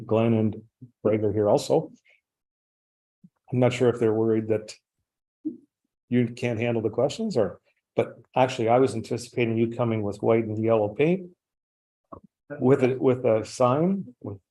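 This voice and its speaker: Gravelly, husky man chairing a meeting. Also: mid-range voice, mellow, rough recording. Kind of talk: informal chairing of a council meeting. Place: council meeting room.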